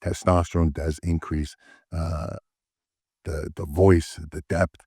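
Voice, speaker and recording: Guy with a super deep voice doing dating coach talk, keeps saying all right?, dry close sound. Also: flat delivery, slow pace, a little mellow, slightly rough, no echo. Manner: emphatic, with frequent "all right?" check-ins; fillers and restarts. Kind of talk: direct-to-camera advice talk.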